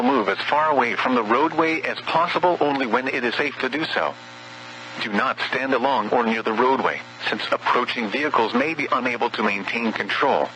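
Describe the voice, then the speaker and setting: A man's voice: mid-range voice, pushed and bright, slight background noise, low-quality recording. Synthetic male weather radio announcer. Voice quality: synthetic text-to-speech voice. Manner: measured, impersonal delivery. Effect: weather radio broadcast. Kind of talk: scripted weather warning bulletin. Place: broadcast over NOAA weather radio.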